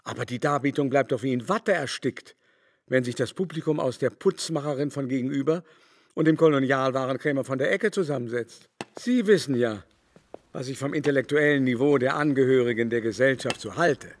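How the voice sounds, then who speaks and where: A man's voice: medium pitch, brisk, dry recording, clean studio sound, natural voice. Radio play: older society baron , male actor, mid-range voice, vain, affected, haughty, insinuating. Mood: haughty, amused. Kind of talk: literary radio play dialogue.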